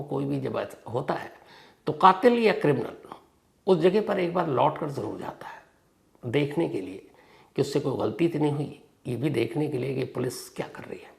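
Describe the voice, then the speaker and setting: Gravelly man telling a crime story. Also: expressive. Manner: dramatic pauses and emphatic stresses, sentences trailing off low. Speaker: host of a true-crime storytelling show. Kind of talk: narrative crime storytelling, conversational.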